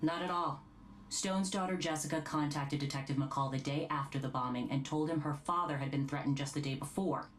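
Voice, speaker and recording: A woman's voice: low-pitched, fairly quick, a little hiss or hum, close to an everyday voice. A woman playing an authoritative, formal American task force chief in a video game briefing. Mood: brisk, formal. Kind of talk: video game cutscene acting.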